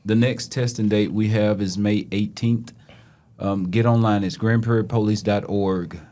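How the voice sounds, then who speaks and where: A man hosting a casual podcast, relaxed and joking, with plenty of fillers. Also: lowish voice, unhurried. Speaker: podcast host.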